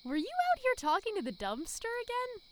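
A woman playing an eager, awkward, soft-hearted pet-shop worker, earnest and hesitant, in an audio drama. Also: very high-pitched, huge pitch swings, a little fast, exaggerated voice. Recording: clear background noise.

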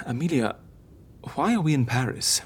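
An actress playing a hesitant, easily flustered woman in an audio drama, speaking haltingly. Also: very deep voice, expressive, husky, heavily acted. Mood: tentative, confused. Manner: halting, stammering.

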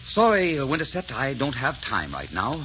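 A man's voice: medium pitch, wildly swooping pitch, moderate pace, pushed and bright, a little hiss or hum. An actor playing a suave, ardent French nobleman in a courtly, theatrical 1940s radio romance. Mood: cool defiance. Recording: muffled old 1940s radio recording.

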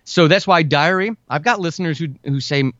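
A man's voice: a little high, a little fast, a bit bright, dry recording. Man in casual interview, dull dry recording. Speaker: podcast guest, writer and audio drama podcaster. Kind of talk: casual interview talk, giving advice. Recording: dull, lo-fi, dry close sound.

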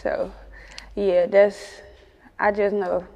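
A woman's voice: medium pitch, little pitch movement, very slow, warm tone, in a large hall, low-quality recording. A woman with a Chicago accent chatting casually in an interview as a rapper, with slangy, sweary talk. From Chicago, United States.

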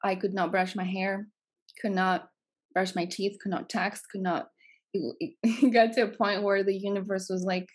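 Greek-accented woman around forty, podcast guest, earnest and reflective, mid-pitched voice. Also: flat delivery, normal speed. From Greece. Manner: earnest, reflective, repeats phrases for emphasis. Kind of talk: personal storytelling in an interview.

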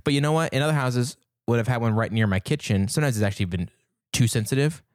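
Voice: medium pitch, quick pace, a little mellow, no echo, clean studio sound. A curious podcast co-host who is not a chemist, chatting casually and telling stories from home life. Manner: curious, storytelling, asks questions.

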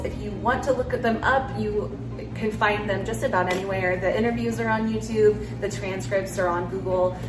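A woman hosting a true crime show, telling it conversationally with casual, opinionated asides, a little under the weather and starting to lose her voice. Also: mid-range voice. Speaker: true crime podcast host. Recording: roomy echo, distant mic, music behind. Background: music.

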